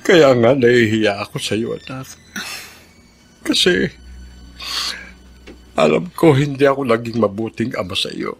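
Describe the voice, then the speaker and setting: An actor playing an ailing, remorseful old father, plaintive and halting, in a Filipino radio drama. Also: medium pitch, animated, vocal fry, husky, faint music behind, full character voice. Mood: grateful, ashamed. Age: older.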